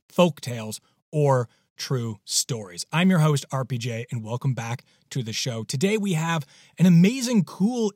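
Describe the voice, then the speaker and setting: A man's voice: a little high, darkish tone, no echo, clean studio sound. A man hosting a podcast, giving a casual introduction in a playful, emphatic, exclamatory manner. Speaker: podcast host.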